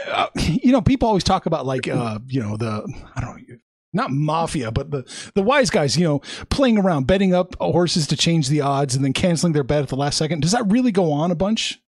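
A man hosting a podcast, asking quick, jokey questions in a casual chat. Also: a little high, very animated. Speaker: podcast host.

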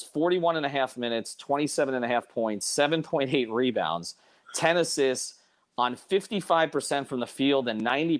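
A man hosting a casual sports talk show, giving his opinions emphatically and reading sponsor plugs. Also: mid-range voice.

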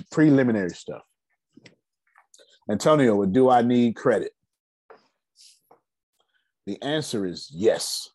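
A man in his forties giving a casual, energetic finance seminar talk, asking rhetorical questions and answering them himself. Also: deep voice, no room sound. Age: 40s. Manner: loose, energetic, repeats key points. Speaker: finance speaker.

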